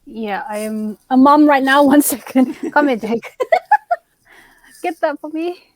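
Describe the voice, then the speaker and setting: Higher-voiced woman, slow casual chat. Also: no room sound, low-quality recording. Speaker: co-host of a livestream talk show. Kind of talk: casual unscripted livestream chat.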